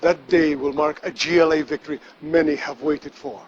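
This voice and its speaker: An actor playing a solemn, boastful propagandist war reporter in a game, declaiming a scripted TV news report. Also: male voice, on the high side, fairly even intonation, moderate pace, dull audio, a little hiss or hum, natural voice. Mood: grave, triumphant.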